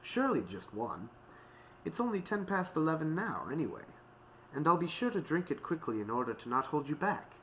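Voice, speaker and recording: Man putting on a high, haughty lady pony. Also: big pitch swings, brisk, a bit bright, slight background noise, rough recording. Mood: pleading. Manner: airy, polite, affected. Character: prim, haughty female pony musician, indignant and polite.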